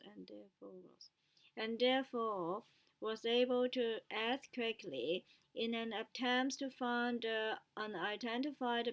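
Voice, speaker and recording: A woman who is a native Chinese speaker learning English, reading news aloud haltingly and repeating words to practise. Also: fairly high pitch, poor audio.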